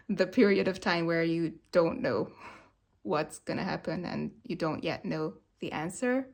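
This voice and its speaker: Slow-talking woman, first language hungarian, gentle and searching, lots of uhs. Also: lowish voice, gravelly. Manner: hesitant, many fillers, gentle and searching. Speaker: vlogger sharing movement practice. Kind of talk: reflective personal monologue.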